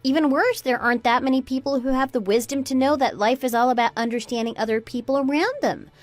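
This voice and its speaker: A woman's voice: sits high, quick pace, no room sound, soft background music, close to an everyday voice. A woman playing a fed-up, sarcastic daughter-in-law in a melodramatic radio drama. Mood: scornful, indignant. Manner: sarcastic, taunting. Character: scornful, fed-up adult daughter-in-law, Anna, defiant and mocking. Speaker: voice actor in an online radio drama.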